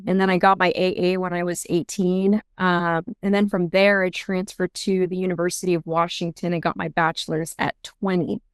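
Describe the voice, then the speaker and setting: A young woman from Seattle talks through her career and her family in relaxed, rambling sentences full of ums and false starts. Her voice is smooth and clear. It sounds close and dry, with no room around it. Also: lowish voice, moderate pace, hardly any pauses, dry recording. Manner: chatty, many ums and restarts. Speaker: guest, intake director at a law firm. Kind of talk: casual interview answers.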